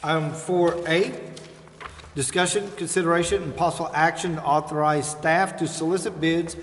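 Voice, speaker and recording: A man chairing a city council meeting, reading agenda items in a measured, formal way. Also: on the high side, fairly even intonation. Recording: echoey council chamber with faint noise.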